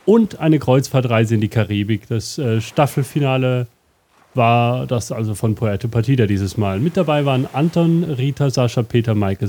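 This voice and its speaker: A man hosting an interactive audio adventure as game master, with a rambling, absent-minded, deadpan delivery. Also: medium pitch. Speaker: game master and show host.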